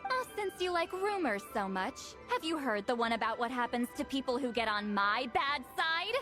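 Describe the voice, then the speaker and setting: A woman's voice: sits high, big pitch swings, normal speed, music in the background. A woman voicing an ancient, powerful female shaman in a video game, teasing and threatening. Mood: menacing.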